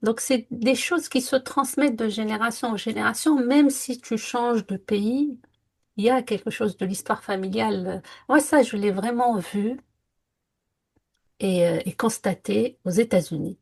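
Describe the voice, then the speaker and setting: Husky-voiced woman co-hosting a chat podcast. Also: fairly high pitch, measured pace, a little mellow. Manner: reflective, earnest, many hesitations. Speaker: podcast co-host. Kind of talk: casual conversational podcast. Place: lived in France.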